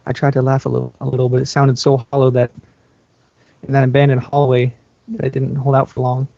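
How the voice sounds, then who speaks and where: A man's voice: mid-range voice, monotone, fairly quick, vocal fry, warm tone, dry recording. A man reading a first-person story aloud as an amateur, stumbling over the odd word. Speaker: amateur fan fiction reader. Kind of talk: scripted narration read aloud in first person. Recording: dull lo-fi microphone, dry.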